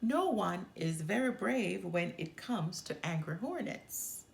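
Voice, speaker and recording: A woman's voice: lowish voice, expressive, slow, creaky, echoey room, a little hiss or hum, heavily acted. A woman voicing a brave, fair-minded young boy bear cub in a children's storybook, earnest and reassuring.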